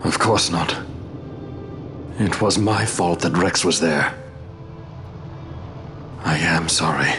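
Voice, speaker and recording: An actor playing a grave, earnest, disgraced army captain in a video game, speaking in a formal, measured way. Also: male voice, sits low, over music. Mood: solemn, remorseful. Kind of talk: video game cutscene acting.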